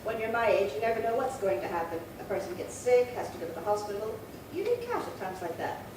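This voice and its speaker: A woman's voice: mid-range voice, very animated, fast talker, lightly acted. A woman acting a prim, chatty, indignant old lady in her late seventies in a 1950s-style radio crime drama, fussy and rambling. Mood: chatty, flustered. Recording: very echoey, distant, noisy room.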